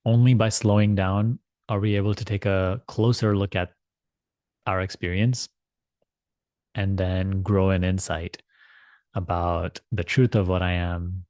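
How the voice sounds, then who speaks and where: A man with a fairly low voice leads a guided meditation in a dry, close sound. He is measured and deliberate, often repeating a phrase and leaving space between thoughts. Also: flat delivery, darkish tone, no echo. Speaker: meditation teacher leading a guided meditation. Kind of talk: guided meditation instruction, spiritual talk.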